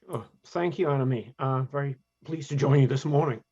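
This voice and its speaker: A man's voice: medium pitch, a little fast, a little mellow, husky, dull audio. A British man from the United Kingdom, president of a transit advocacy group, explaining his views earnestly in a roundtable discussion over a video call.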